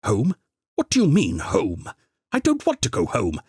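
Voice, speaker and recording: A man's voice: medium pitch, wildly swooping pitch, measured pace, husky, no room sound, studio quality, pitched above the performer's own voice, exaggerated voice. A man voicing an eccentric male time traveller who exclaims, fusses and ends his questions with a hum. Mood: startled.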